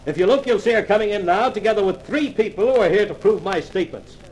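An actor playing a cocky, sardonic newspaper columnist in a 1950s radio detective drama, with a wry, clipped delivery. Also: male voice, high-pitched, expressive, fast talker, rough recording. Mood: pressing. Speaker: actor playing the lead and narrator.

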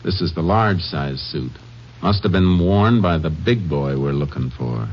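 Very deep-voiced, steady lawman. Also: measured pace, background noise. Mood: thoughtful, businesslike. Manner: terse, matter-of-fact. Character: steady, thoughtful Texas Ranger investigator with a soft heart. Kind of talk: old-time radio drama acting and narration. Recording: muffled vintage radio recording.

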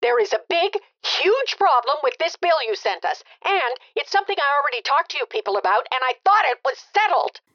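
A woman's voice: high voice, very animated, fairly quick, hardly any pauses, fairly bright tone, full character voice. A woman playing an upset, anxious middle-aged mother, an insistent caller in an audio comedy drama. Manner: agitated, insistent. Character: upset, anxious mother complaining about her bill. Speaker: actor. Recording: dull, band-limited phone line.

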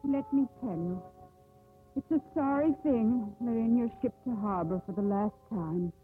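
Actress as a high-voiced young woman sea captain. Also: a little slow, faint music behind, natural voice. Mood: firm, earnest. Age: young adult. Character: strong-willed young female merchant ship captain. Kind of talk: 1950s radio drama acting. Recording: muffled old 1950s radio recording.

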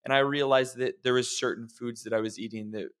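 Male health coach, middle pitch, reflective and encouraging, casual podcast host. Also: fairly even intonation, a little fast, fairly bright tone. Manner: reflective, encouraging, addresses listeners directly.

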